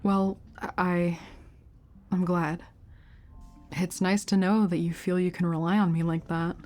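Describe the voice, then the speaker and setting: Woman acting a teasing, caring older sister, low-toned. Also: flat delivery, a little slow, faint music behind, lightly acted. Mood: reassuring, playful.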